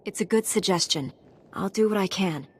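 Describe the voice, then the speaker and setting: A woman's voice: medium pitch, normal speed, slightly rough, natural voice. A woman playing a composed, polite, helpful female knight hero in a video game.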